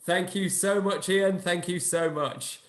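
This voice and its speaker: Man, high voice, upbeat host, lots of fillers, roomy video call sound. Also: steady tone, unhurried. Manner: upbeat, filler-heavy, laughing often. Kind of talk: hosting a live online Q&A.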